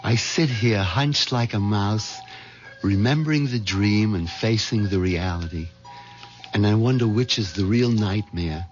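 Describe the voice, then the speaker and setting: Casting: male actor for timid, guilt-ridden husband in vintage radio play, unhurried creaky delivery, muffled recording with music. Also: on the low side, natural voice. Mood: resigned. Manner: hesitant, stammering. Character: timid hen-pecked middle-aged husband, dazed and guilt-ridden. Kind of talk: 1970s radio drama acting. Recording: dull 1970s radio recording, music underneath.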